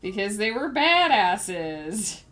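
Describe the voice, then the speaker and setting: A woman's voice: medium pitch, very animated, takes it slowly, a bit bright. A woman author chatting casually on a livestream, thinking aloud and rambling with lots of fillers, a little sniffly. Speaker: author streaming her writing process.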